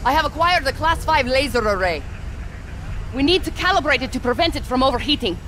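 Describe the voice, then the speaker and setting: An actress playing a curt, no-nonsense mercenary leader, speaking in terse, formal commands in a video game. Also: female voice, sits high, expressive, brisk, fairly bright tone, a little hiss or hum. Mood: stern, businesslike.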